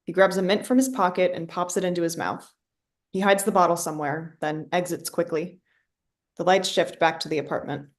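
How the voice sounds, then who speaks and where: A woman's voice: on the low side, steady tone, fairly quick. A woman reading scripted stage directions as the narrator of a play reading.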